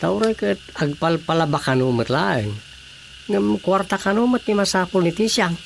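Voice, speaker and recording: A man's voice: high voice, moderate pace, creaky, a little hiss or hum. A man acting in an Ilocano radio drama as a chatty, reflective village man, with an Ilocano Filipino accent. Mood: gossiping, sympathetic.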